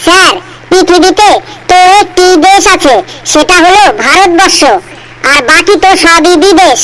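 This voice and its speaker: Lady doing a tiny high cartoon schoolgirl, naive little smart aleck. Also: fairly even intonation, measured pace, fairly bright tone, poor audio. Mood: matter-of-fact. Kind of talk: comedy cartoon dialogue.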